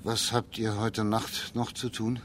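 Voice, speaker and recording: An authoritative magistrate in a period radio drama. His voice is on the low side, formal and measured, with a faint rumble in the background. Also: flat delivery, a bit bright. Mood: commanding. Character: authoritative, composed judge and magistrate of imperial China. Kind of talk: German radio crime drama acting.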